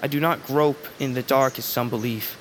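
A man playing a serene, philosophical young blind brother in a fantasy audio drama, with lyrical, earnest, measured phrasing. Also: medium pitch, fairly even intonation, slight background noise, lightly acted. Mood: quietly proud.

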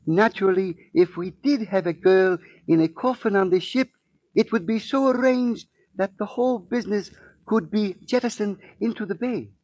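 A menacing East Indian smuggler in a 1940s radio serial. His voice is high and husky, dryly recorded, with deliberate, formal and taunting phrasing. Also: darkish tone, no echo, dull audio. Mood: smug menace. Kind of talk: 1940s radio drama acting.

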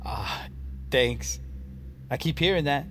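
An actor playing a goofy, loyal young metalworker sidekick in an audio drama, slangy, boyish and eager. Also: male voice, medium pitch, lively intonation, takes it slowly, a bit bright, a little hiss or hum. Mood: friendly.

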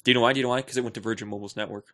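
Male podcast co-host, bright forward voice, casual rambling banter with joking mock indignation. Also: mid-range voice, fairly even intonation, racing.

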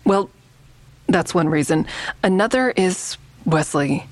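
A woman playing a guarded, earnest woman in an audio drama, speaking in a measured, evasive way. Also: lowish voice, lively intonation, no echo. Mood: guarded, insistent. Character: guarded, earnest woman negotiating with police, also narrating. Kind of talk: naturalistic audio drama acting and narration.

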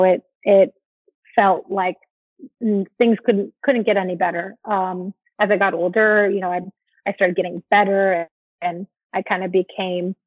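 A young adult woman from the United States, talking casually as an interview guest, relaxed and chatty with many fillers and restarts. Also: medium pitch, dry recording. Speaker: interview guest, former soccer player. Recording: dry tinny phone-like line.

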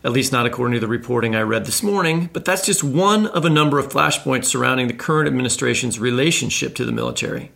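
A man's voice: mid-range voice, fast, creaky, few pauses, fairly bright tone. A man co-hosting a podcast interview, asking loose, rambling questions. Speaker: podcast co-host.

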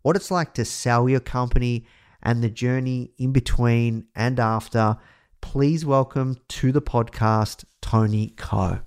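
Halting male podcast host. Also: medium pitch, steady tone, darkish tone. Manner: halting, restarting his questions. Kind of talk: interview questions.

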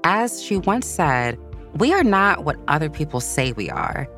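Narrator for kids' biography podcast: expressive, measured, animated melody, light music bed. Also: medium pitch. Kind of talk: scripted children's biography storytelling narration. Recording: soft music bed behind voice. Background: background music.

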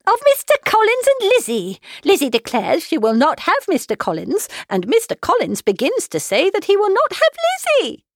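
A woman's voice: very high voice, huge pitch swings, normal speed, few pauses, no room sound, studio quality, voice pushed up, exaggerated voice. A woman voicing a flustered, scheming middle-aged mother in a classic novel, exclamatory, insistent and fussy. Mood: frantic. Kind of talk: audiobook character voice, classic novel.

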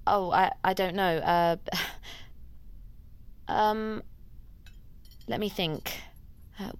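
An actress plays an anxious young daughter in an audio drama. Her voice is clean and smooth, a little low. She is meek and soft-spoken, hesitating as she goes. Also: dry recording, close to an everyday voice. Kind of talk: naturalistic audio drama acting.

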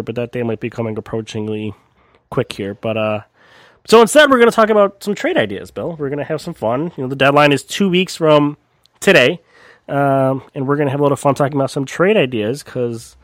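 Podcast guy getting over a cold, bit raspy, rambly, dry close mic. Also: mid-range voice, no room sound. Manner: rambling, many fillers and restarts. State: recovering from a cold, slightly raspy. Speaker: podcast co-host. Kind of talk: casual sports podcast chat.

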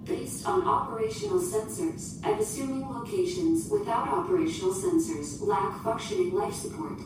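A woman playing a polite, unemotional shipboard AI in a sci-fi audio drama, speaking in formal, precise, procedural phrases. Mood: procedural, impassive. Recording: distant, echoing, noisy with rumble.

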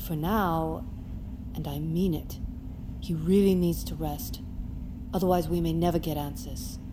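Firm, lower-pitched woman doctor. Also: steady tone, measured pace. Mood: grave, resolute. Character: serious, protective female expedition doctor. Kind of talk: audio fiction drama acting. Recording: clear background noise of vehicle ambience.

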